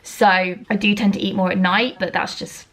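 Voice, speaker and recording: A young woman in her early twenties talks casually to her viewers from home. Her voice is a little croaky as it recovers, and she rambles on in a chatty, self-mocking way at an ordinary middle pitch. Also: level tone, moderate pace, fairly bright tone. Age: around 20. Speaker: vlogger. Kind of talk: casual chat to camera.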